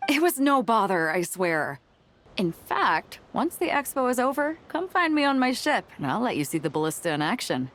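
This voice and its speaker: A woman's voice: a little high, very animated, moderate pace, a bit bright, slight background noise. A woman playing a breezy, confident battleship captain with a teasing, informal manner in a video game. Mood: friendly, casual. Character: breezy, confident young female battleship captain hawking weapons. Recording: music behind the voice.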